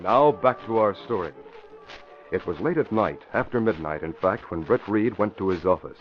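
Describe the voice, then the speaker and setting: A man's voice: lowish voice, animated, normal speed, over music. A man announcing in 1940s radio style, with emphatic, polished scripted delivery. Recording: muffled vintage radio broadcast.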